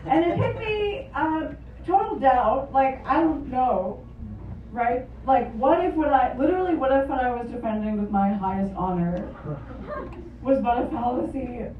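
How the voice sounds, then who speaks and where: A woman whose first language is Japanese, doing a stand-up comedy set on a club stage with wry, deadpan storytelling, caught on a phone voice memo from the audience. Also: high voice, normal speed, slight background noise. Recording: lo-fi phone recording from far off in an echoey club. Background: audience laughter.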